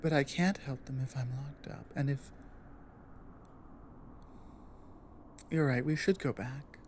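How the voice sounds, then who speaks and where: A woman playing a big, earnest, protective orc warrior in an intimate ASMR audio drama, speaking low in a halting, thoughtful way. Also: a little mellow, slight background noise. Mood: conflicted, anxious. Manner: halting, deliberate, earnest delivery with pauses.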